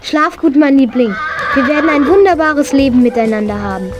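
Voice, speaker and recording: A woman's voice: sits high, brisk, over music, close to an everyday voice. An actress playing an eager, affectionate, horse-loving schoolgirl with gushing enthusiasm in a children's radio play. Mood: tender.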